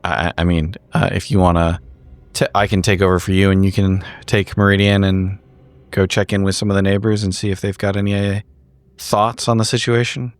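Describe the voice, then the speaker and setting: A man's voice: sits low, little pitch movement, fairly quick, no room sound. A man playing a tabletop roleplaying game, talking in character in a dry, understated, hesitant way.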